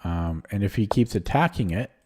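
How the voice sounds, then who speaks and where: Hoarse, soft-toned man thinking aloud. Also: fairly low pitch, expressive, moderate pace. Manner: thinking aloud, hesitant, self-questioning. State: voice strained from talking. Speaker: chess streamer. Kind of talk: casual live-stream commentary.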